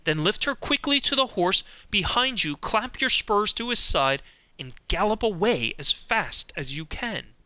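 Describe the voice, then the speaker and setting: Guy reading a wise helpful fox from a fairy tale, measured advice, clear voice, bit muffled. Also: high-pitched, expressive, dry recording, voice pushed up. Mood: calmly instructing. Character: wise helpful male fox giving careful counsel.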